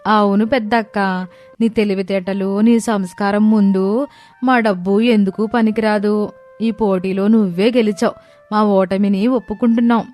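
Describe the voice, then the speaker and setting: A woman's voice: medium pitch, steady tone, measured pace, darkish tone, natural voice. Woman as proud young daughter-in-law, music behind. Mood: remorseful. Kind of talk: scripted story dialogue.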